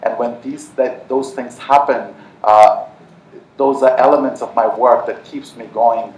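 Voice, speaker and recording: A man's voice: mid-range voice, steady tone, a little slow, a little mellow. A man from Nepal speaking earnestly on a conference panel, with many fillers and restarts. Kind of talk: panel talk. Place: large conference hall. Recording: echoey hall from far off.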